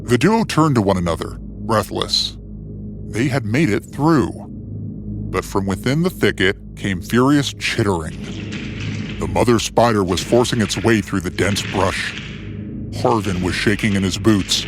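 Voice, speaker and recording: A man's voice: lowish voice, expressive, a little slow. A man reading a scripted adventure story in a theatrical, suspenseful way, as its narrator. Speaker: storyteller, narrator of a fiction series. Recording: music bed under the voice. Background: music.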